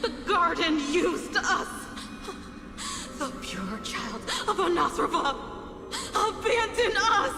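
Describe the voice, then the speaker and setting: Casting: broken, hysterical woman in a video game; extremely slow, halting, giggling and sobbing, reverberant, music underneath. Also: very high voice, big pitch swings, exaggerated voice. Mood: broken, deranged. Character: crazed, terrified female memo snatcher, broken and laughing. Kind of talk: dramatic video game acting. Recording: echoey hall reverb, music behind.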